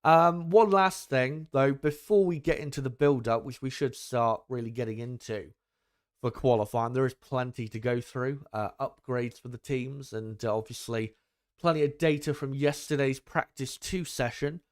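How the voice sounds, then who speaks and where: A young man hosts a live watchalong, chatting loosely and peppering his talk with ums and little asides to the audience. His voice sits at an everyday pitch but carries a slightly deeper tone than it once did. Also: normal speed. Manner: rambling, frequent ums and asides to viewers. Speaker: livestream host. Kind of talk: casual live commentary chat.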